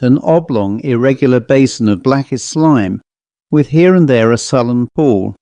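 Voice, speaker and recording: A man narrating a Victorian ghost story as a scripted audiobook, in a measured, dramatic storytelling manner. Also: medium pitch, warm tone, no room sound, highs cut off.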